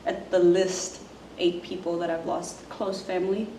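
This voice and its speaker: A woman speaks softly and haltingly, filling her pauses with ums. Her voice sits low and mellow with little rise and fall. She sounds set back from the mic in an echoey room with a faint hiss. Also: little pitch movement. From Zimbabwe. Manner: soft-spoken, halting, many fillers. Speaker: musician. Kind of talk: casual self-introduction to camera. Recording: roomy, distant mic, faint noise.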